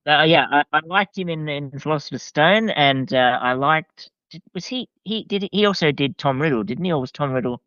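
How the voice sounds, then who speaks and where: A man's voice: a little high, expressive, normal speed, hardly any pauses, bright, forceful tone, dry recording. Enthusiastic man, slightly dull recording. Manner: enthusiastic, informal. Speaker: podcast guest. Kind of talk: casual chat.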